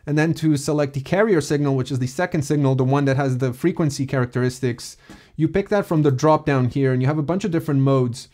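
A man in his thirties explains software step by step in an informal, methodical tutorial style, recorded on a close mic. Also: a little high, quick pace. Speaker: music production tutor. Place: small home studio room.

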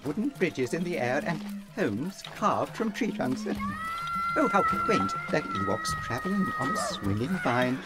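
An actor playing a fussy, anxious protocol droid with a prim, formal, deferential manner in a radio drama. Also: male voice, a little high, huge pitch swings, a little slow, poor audio. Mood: delighted. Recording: music behind radio drama dialogue.

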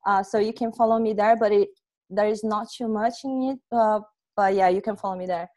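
A young woman from Brazil speaks with a Brazilian accent at an average pitch. She presents informally on a video call, pausing often in a conversational way through an earphone headset. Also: fairly even intonation, a little mellow. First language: Portuguese. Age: young adult. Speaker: presenter, software testing specialist. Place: small room at home, indoors.

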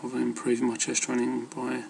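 Flat, gravelly man rambling casually. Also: medium pitch, normal speed, slight background noise. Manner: hesitant, loosely worded. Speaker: amateur fitness vlogger. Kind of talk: casual rambling advice talk.

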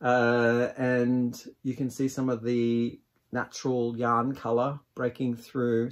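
A man in his forties giving a casual product review to camera, unhurried and musing as he thinks aloud. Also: medium pitch, gravelly. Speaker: yarn vlogger, host. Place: small indoor craft room with shelves of yarn.

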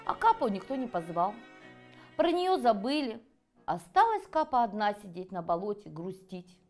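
A middle-aged woman reading a children's fairy tale aloud in an expressive storytelling style. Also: medium pitch, moderate pace, soft background music. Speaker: children's writer reading her own tale. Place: cosy furnished room set, indoors.

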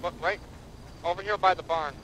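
A man's voice: high voice, steady tone, brisk, a crowd in the background, rough recording. A man role-playing a police officer, giving clipped, procedural radio reports in an online game. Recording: loud sirens and background noise.

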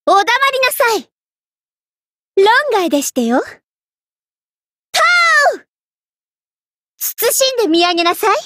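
A very high-pitched, girlish young queen's spirit for an anime game. She is haughty and vain, giving orders in an imperious, ladylike manner. The recording is dry and close. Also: big pitch swings, takes it slowly, pauses often, dry recording, exaggerated voice. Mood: scornful. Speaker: game voice actor. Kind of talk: anime game character voice lines.

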